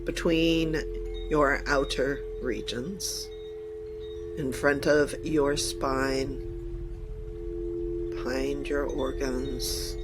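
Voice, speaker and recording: A woman leading a channeled guided meditation in deliberate, halting short phrases with formal wording. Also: very deep voice, steady tone, loud music behind the voice.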